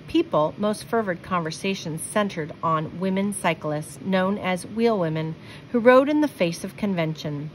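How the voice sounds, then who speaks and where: A woman reading a children's picture book aloud, with expressive, deliberate phrasing. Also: lowish voice, a little hiss or hum, low-quality recording. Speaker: storybook reader.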